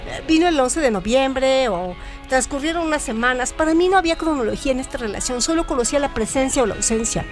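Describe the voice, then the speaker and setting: A woman reading scripted literary narration as a storyteller, in a measured, articulate manner. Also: sits high, lively intonation. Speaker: narrator, writer and director of an audio story show. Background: background music.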